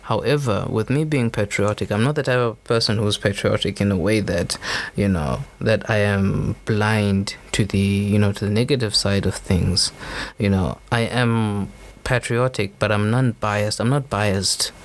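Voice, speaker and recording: A man's voice: lowish voice, fairly even intonation, unhurried. A young man from Zimbabwe, hosting a podcast and talking through his views in an earnest, rambling way, full of "you know" fillers. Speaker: podcast host. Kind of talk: unscripted opinion monologue.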